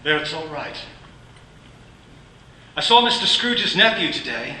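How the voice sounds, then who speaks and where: Grieving father in a radio play, bright tone, halting and soft, played by a man, heard from across an echoey room. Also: on the high side, sing-song, a little hiss or hum, full character voice. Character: grieving gentle clerk and father. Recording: roomy, distant from the mic.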